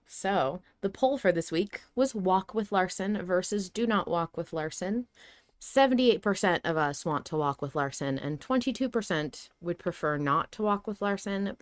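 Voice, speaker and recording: A woman's voice: on the low side, no room sound. A woman hosting a podcast, chatting casually and analytically about a story. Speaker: podcast host.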